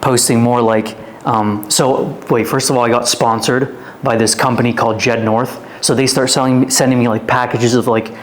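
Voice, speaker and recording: A man's voice: medium pitch, little pitch movement, normal speed, hardly any pauses. A man in his twenties from Canada, a podcast guest telling his life story in a loose, rambling way full of "like.". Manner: rambling, filler-heavy storytelling with many "like"s. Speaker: guest, fitness influencer. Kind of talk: casual storytelling interview.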